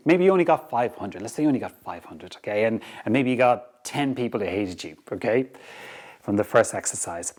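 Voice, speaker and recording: An Irish man speaks in a steady middle register, delivering a motivational talk on leadership. He is earnest and repeats words as he thinks aloud, often pausing to check in with the listener. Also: mid-range voice. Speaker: podcast host.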